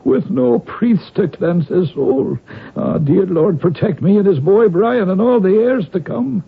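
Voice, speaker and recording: An actor playing a loyal, humble Irish manservant with a rough, husky voice and an Irish accent, in old radio drama style. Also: male voice, high voice, animated, unhurried, heavily acted. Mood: frightened, pleading. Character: loyal, humble male Irish family servant. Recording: narrow-band old radio broadcast.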